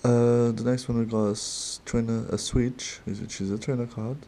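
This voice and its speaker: A man with a non-native accent opening trading cards at a desk, talking casually and haltingly with small laughs. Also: mid-range voice, flat delivery, warm tone. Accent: non-native English. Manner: slow, halting, chatty with little laughs. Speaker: card-opening channel host. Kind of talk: casual card-opening commentary. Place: desk in a dim room.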